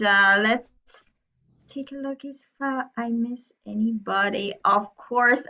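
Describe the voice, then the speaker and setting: Slow bright-voiced woman hosting. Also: on the high side. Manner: friendly, laughs between remarks. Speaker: club president hosting the meeting. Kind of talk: online club meeting hosting. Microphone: video call audio. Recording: dull lo-fi call audio.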